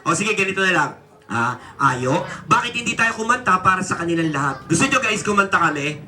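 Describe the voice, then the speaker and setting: A puppeteer hosting a live comedy quiz for children, exclaiming and mock-scolding in an animated way. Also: mid-range voice, a little fast. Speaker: puppeteer and children's party host.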